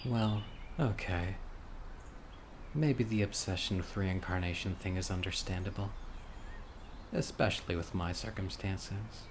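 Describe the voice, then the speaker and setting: A low-pitched male actor's portrayal of a sickly young man with an obsessive streak. He muses earnestly and softly to his date in an intimate audio drama, wistful throughout. Birds and insects are clearly audible behind him. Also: a little slow, long pauses. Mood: wistful, hopeful. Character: critically ill young man, wistful, obsessive yandere witch. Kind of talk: intimate scripted audio roleplay monologue. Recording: clear outdoor insect and bird noise. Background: birdsong, crickets and insects of a lakeside.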